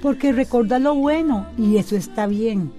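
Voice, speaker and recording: An actress playing a wise, reassuring, gently teasing confidante in a radio soap. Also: female voice, fairly high pitch, moderate pace, faint music behind, close to an everyday voice. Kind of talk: Spanish-language radio soap acting.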